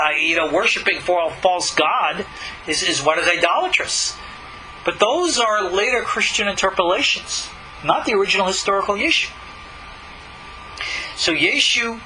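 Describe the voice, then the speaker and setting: A man with a high, piercingly bright voice delivers spoken commentary on scripture. He argues emphatically as a teacher, posing rhetorical questions, with faint background noise behind him. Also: expressive, moderate pace, rough recording. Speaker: rabbi and teacher.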